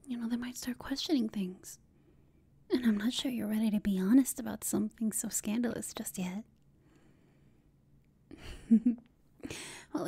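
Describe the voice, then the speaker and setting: Breathy, whispering villainess played by a woman, smugly flirtatious. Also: mid-range voice, dark tone. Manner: smug, teasing, seductive. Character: confident teasing female villain, flirting with an enemy. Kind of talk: ASMR roleplay, intimate and whispered.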